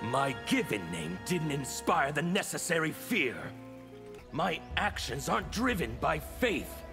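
An actor playing a grim, disfigured fanatic villain with a gravelly voice, uttering threats in a fighting video game. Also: male voice, fairly high pitch, very animated, measured pace. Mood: menacing. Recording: game music behind.

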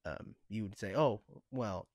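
A man with a fairly low voice speaks as a podcast co-host in a very dry recording. He talks casually and tentatively, working out his thoughts as he says them. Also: a little slow, darkish tone, dry recording. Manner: tentative, thinking aloud. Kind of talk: casual discussion.

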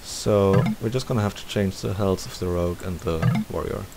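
A man's voice: sits low, moderate pace, creaky. A man giving a casual screen-recorded game tutorial, thinking aloud step by step. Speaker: tutorial presenter. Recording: steady hiss behind the voice.

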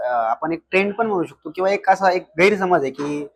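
A man's voice: high-pitched, expressive, a little fast. A man interviewing a guest in a conversational style, putting questions and comments as the interviewer.

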